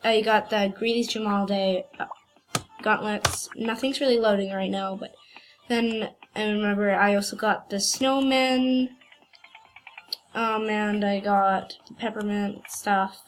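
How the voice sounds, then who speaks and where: Slow, hesitant woman with a cold. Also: medium pitch, a bit bright. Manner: hesitant and rambling, many fillers. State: has a cold. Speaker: gaming channel host. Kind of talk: casual gameplay commentary. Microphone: computer screen recording.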